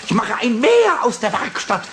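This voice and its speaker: A man playing a mischievous, childlike little kobold in a German children's radio play, stammering and repeating words in a squeaky, raspy voice. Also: wildly swooping pitch, moderate pace, full character voice. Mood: busy, gleefully scheming. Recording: faint hiss of old cassette.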